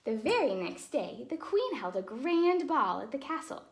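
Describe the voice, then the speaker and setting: A woman's voice: sits high, sing-song, moderate pace, creaky. Woman reading a children's storybook expressively. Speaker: storytime host and ballet dancer.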